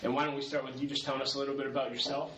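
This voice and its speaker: A man's voice: mid-range voice, flat delivery, rapid-fire, a bit bright, a little hiss or hum, poor audio. A man hosting a podcast, who introduces his guest earnestly and appreciatively and then asks the interview questions. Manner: earnest and appreciative, with light laughs.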